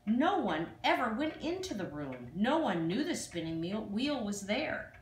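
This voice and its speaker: An older woman reads a children's fairy tale aloud, with expressive, dramatic emphasis on exclamations. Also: medium pitch, a little slow. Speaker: storyteller. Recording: distant, echoey room with faint noise.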